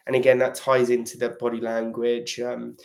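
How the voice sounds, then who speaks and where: A man's voice: mid-range voice, completely flat delivery, moderate pace, gravelly, darkish tone. A man with a cold and a stuffy nose, a podcast guest who explains things steadily with frequent "um"s. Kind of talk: casual podcast chat.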